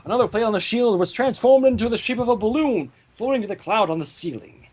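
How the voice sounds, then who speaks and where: A man narrating a fan-fiction story aloud in an animated storytelling style. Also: high voice, quick pace, slightly rough.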